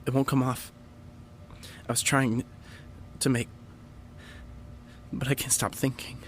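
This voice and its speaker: Troubled, hesitant young man played by an actor, faint rumble behind. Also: little pitch movement, slow, natural voice. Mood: distraught, guilty. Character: Aiden, uneasy, guilt-ridden young man. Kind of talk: audio drama acting.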